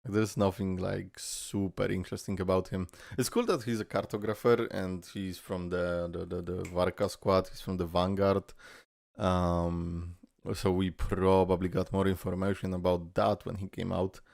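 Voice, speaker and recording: A man's voice: sits low, level tone, normal speed, vocal fry, darkish tone, no room sound, studio quality. A young man hosting a casual reaction video, joking loosely, swearing and laughing often.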